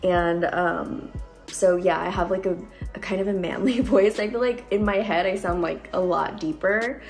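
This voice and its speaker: Young woman beauty vlogger, cold-deepened voice, chatty, phone mic at home, faint music under. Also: moderate pace, vocal fry. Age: young adult. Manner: chatty, lots of likes and ums. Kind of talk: casual makeup tutorial. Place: bright room at home. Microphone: phone camera at close range.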